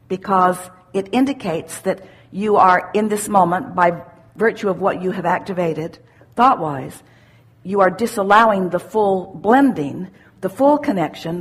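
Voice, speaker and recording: Casting: woman, husky voice, spiritual seminar teacher, deliberate and emphatic, explains in measured phrases. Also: mid-range voice. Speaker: spiritual teacher answering audience questions. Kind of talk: live spiritual seminar talk, unscripted question and answer.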